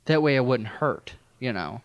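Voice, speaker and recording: A man's voice: medium pitch, sing-song, normal speed, dry recording, lo-fi sound. An American man from rural Missouri telling a personal story casually as a podcast guest, in a wry, self-deprecating, profane way. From rural Missouri, United States.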